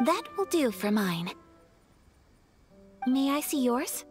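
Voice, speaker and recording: A woman voicing a gentle, courteous young noblewoman in an anime video game, with polite, measured, formal phrasing. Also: high-pitched, very animated, faint music behind, exaggerated voice. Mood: gentle, earnest.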